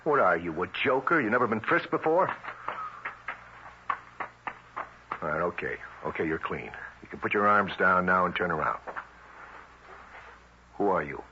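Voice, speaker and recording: An actor playing a tough, suspicious federal agent with a brusque, streetwise way of questioning, in 1970s radio drama style. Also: male voice, deep voice, sing-song, brisk. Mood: commanding, menacing. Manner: brusque, interrogating, streetwise wisecracking. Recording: dull, noisy vintage radio recording.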